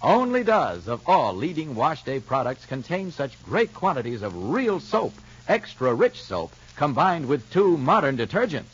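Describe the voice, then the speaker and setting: A man's voice: sing-song, measured pace, few pauses, husky. Old-time radio announcer guy, swoopy dramatic voice, muffled lo-fi. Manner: dramatic, booming, persuasive. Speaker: announcer and narrator. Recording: dull lo-fi old radio recording.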